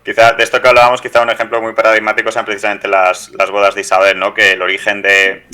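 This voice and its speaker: Very bright, sharp-voiced Spanish man. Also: on the low side, monotone, fast, clear, resonant tone. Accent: Spanish from Spain. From Teruel, Spain. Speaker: podcast host, interviewer. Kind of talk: conversational interview.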